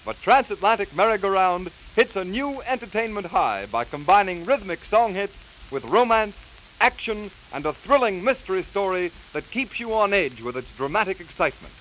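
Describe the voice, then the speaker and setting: Male promotional announcer, high voice, theatrical hype, 1930s scripted ad style, hissy crackly 78 rpm record. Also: sing-song, fairly bright tone. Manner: brisk, theatrical showman's hype. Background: record hiss and crackle.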